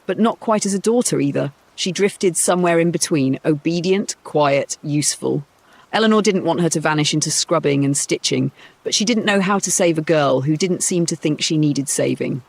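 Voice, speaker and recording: A man's voice: fairly high pitch, moderate pace, gravelly, no echo. A man narrating a scripted bedtime story.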